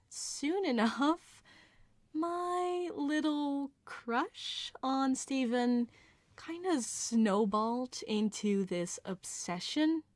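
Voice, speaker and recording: A woman's voice: on the high side, no room sound. A woman telling casual, confessional personal anecdotes in an ASMR storytime style, with a light giggly manner.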